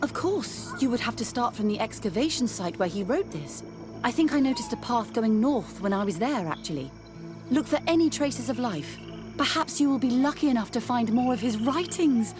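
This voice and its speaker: A woman plays an earnest scholarly nun in a fantasy game. Her voice sits fairly high, with formal, emotional, expressive phrasing, and music plays clearly behind her. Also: moderate pace, natural voice. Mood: earnest, hopeful. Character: earnest, passionate religious sister and scholar. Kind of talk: dramatic video game acting.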